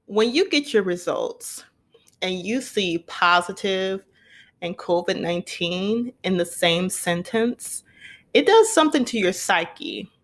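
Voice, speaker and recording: A woman's voice: on the low side, fairly bright tone. A young woman hosting a podcast, telling a personal story in an earnest, conversational way and repeating points for emphasis. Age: young adult. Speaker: podcast host.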